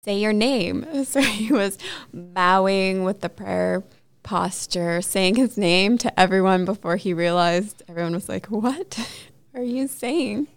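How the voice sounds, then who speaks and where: A woman's voice: mid-range voice, slow pace, dry recording. A woman hosting a casual conversational interview in a playful, teasing way.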